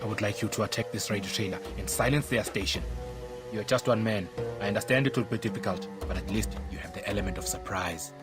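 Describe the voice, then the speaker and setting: An actor playing a stern army major in a video game, speaking gravely and formally. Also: male voice, on the low side, quick pace, lightly acted. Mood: grave, resolute. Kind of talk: video game cutscene acting. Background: music.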